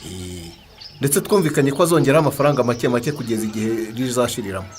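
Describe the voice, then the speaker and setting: A man's voice: mid-range voice, animated, quick pace, slight background noise, lightly acted. A man with a Rwandan accent acting a self-assured cattle-owning villager in a radio drama, protesting and justifying himself. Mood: puzzled, protesting.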